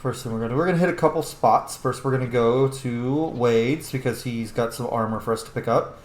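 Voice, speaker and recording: A man's voice: medium pitch, brisk. A man giving casual, informal let's-play commentary, thinking aloud as he plays a video game. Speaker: video game player, streamer. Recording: roomy echo, faint background noise.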